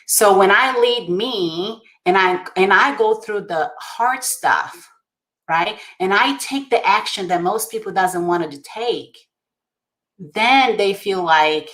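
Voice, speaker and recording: A woman's voice: medium pitch, slow, fairly bright tone. A woman with a Brazilian Portuguese accent tells her personal story as a guest on a talk show. Her delivery is earnest and insistent. She stacks up repeated phrases and keeps checking in with "right?". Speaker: guest author.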